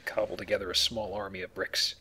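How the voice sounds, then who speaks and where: A man's voice: on the low side, huge pitch swings, brisk, creaky, sharp tone, slight background noise. A man hosting a let's play with casual, playful commentary and jokey asides. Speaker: let's play host and player.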